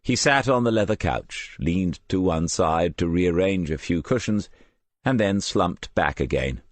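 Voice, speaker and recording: Man, very deep voice, for crime audiobook narration. Dry studio sound. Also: expressive, measured pace, no echo. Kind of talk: scripted crime novel narration.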